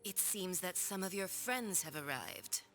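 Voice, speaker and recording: A woman's voice: on the low side, lively intonation, a little slow, over music. An actress playing a haughty, sardonic female villain in a video game, mocking, condescending and coldly commanding. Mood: amused, condescending. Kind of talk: video game cutscene acting.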